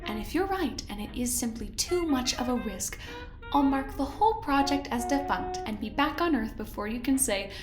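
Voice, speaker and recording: A high-pitched actress playing a female space-project director, controlled and mock-sweet as she talks down to her staff. The roomy echo and music underneath give it an audio drama setting. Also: expressive, moderate pace, close to an everyday voice. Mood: patronizing, placating. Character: smooth, condescending woman director of a spaceship research project.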